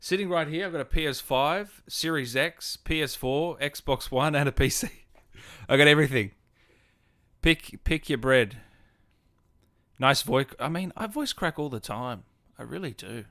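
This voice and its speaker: A man's voice: fairly high pitch, expressive, a little slow, bright, forceful tone, dry recording, clean studio sound. A man with an Australian accent chatting casually on a gaming livestream, informally addressing viewers like mates. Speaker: gaming streamer and host. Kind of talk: casual livestream chat, answering viewers' comments.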